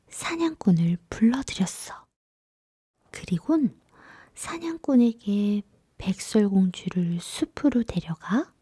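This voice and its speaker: A woman storyteller narrating a fairy tale in a gentle, soothing, whispery bedtime style. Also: mid-range voice, slightly rough, dry recording, clean studio sound.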